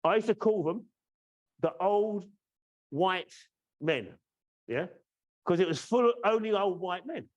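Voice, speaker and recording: A man's voice: sits high, lively intonation, measured pace, long pauses, dull audio. A man in his fifties from the UK hosting a training webinar over a video call, chatty and teasing, often checking in with "yeah?". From United Kingdom. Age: 50s. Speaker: course trainer and webinar host. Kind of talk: casual webinar presentation.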